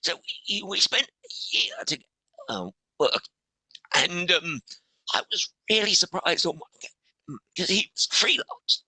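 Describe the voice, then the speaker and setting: A middle-aged man, a podcast guest, talking with a strained, hoarse voice in halting phrases that break off and restart. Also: high-pitched, very animated, sharp tone, no echo, low-quality recording. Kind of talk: interview conversation.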